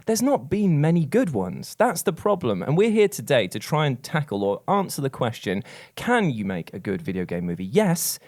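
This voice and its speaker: Animated man hosting a podcast. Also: a little high, moderate pace. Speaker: podcast host. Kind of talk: casual panel discussion.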